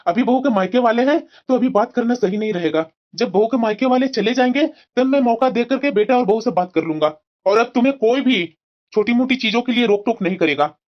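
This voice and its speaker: A woman voicing a consoling, protective old husband, high-pitched. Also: a little fast, lo-fi sound, close to an everyday voice. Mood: reassuring, indignant. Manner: earnest, consoling. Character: protective, reassuring elderly husband, a pensioner. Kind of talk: Hindi family drama story dialogue.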